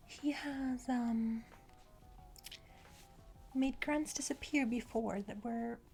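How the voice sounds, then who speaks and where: A woman plays a self-important female villain's grunt in an ASMR roleplay. She whispers breathily into a close mic at a slow pace, confiding and a little hesitant, with music underneath. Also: on the high side, a little mellow, natural voice. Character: boastful, eager young female criminal henchwoman. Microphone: close microphone.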